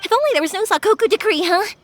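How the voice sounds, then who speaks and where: Yoimiya, very high-pitched bubbly young woman. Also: rapid-fire, background noise. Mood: eager, reassuring. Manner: chatty, eager, expressive. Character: Yoimiya, bubbly, kind-hearted young fireworks maker woman. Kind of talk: anime-style video game acting. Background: music.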